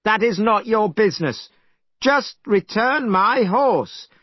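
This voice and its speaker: A hot-tempered monkey king bellows high and fierce, as a man reads him aloud. His shouts leap up and down with wild energy. The recording is dull and dry. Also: expressive, moderate pace, dry recording, close to an everyday voice. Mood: challenging. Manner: shouting, furious. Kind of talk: storybook reading.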